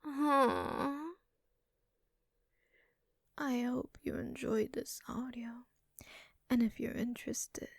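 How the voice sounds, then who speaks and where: A woman's voice: a little high, level tone, unhurried, gravelly, warm tone, husky, no echo, studio quality. A woman who creates ASMR audio, giving a whispered sign-off to listeners.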